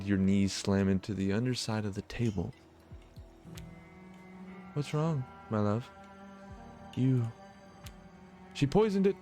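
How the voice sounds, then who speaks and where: A man's voice: on the low side, mellow. A man hosting a gaming video, reading a visual novel's narration aloud with blunt, casual asides.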